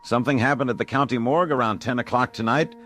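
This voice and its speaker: A man playing a weary but steady late-night radio host in an audio drama, plain and low-key. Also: fast talker, natural voice. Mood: weary, uneasy.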